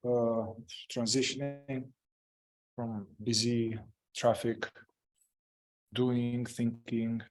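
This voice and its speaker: Male meditation guide, mid-pitched, very slow and gentle, halting phrases with long pauses. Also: little pitch movement, low-quality recording. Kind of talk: guided meditation, improvised spoken instruction.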